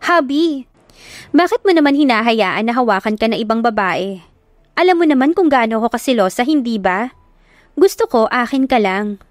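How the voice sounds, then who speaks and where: A woman's voice: a little high, expressive, moderate pace, dry recording, studio quality, natural voice. Woman doing a feisty defiant young woman. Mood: clingy. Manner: sharp-tongued, defiant.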